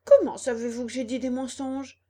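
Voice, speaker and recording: A woman voicing an eager, naive young wooden puppet boy in a storybook, excitable and earnest. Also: high voice, moderate pace, pitched above the performer's own voice. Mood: anxious.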